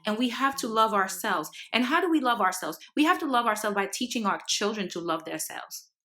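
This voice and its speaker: Bright-voiced woman from Haiti, preachy. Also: a little high, lively intonation, fairly quick. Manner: earnest, preachy, many fillers and restarts. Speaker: vlogger. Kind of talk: casual monologue to camera.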